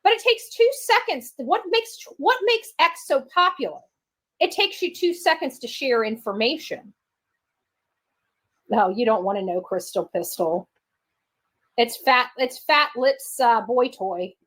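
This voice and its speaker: A woman's voice: high voice, animated, unhurried, a bit bright. A woman chatting casually on a livestream in a brash, mocking way.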